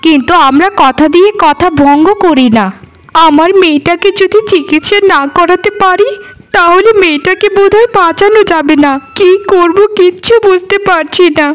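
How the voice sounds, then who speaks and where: A woman's voice: very high voice, moderate pace. A woman voicing a humiliated, desperate poor mother in a cartoon, pleading and reproachful. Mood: hurt, desperate. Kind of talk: Bengali cartoon dialogue.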